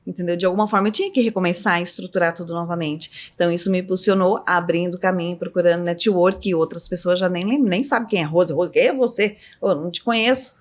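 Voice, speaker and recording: A middle-aged woman, a business owner telling stories as a podcast guest, her voice leaping up high as she laughs through anecdotes. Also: fast, hardly any pauses. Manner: lively storyteller, voice jumps up high in anecdotes, laughs often. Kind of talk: casual storytelling interview.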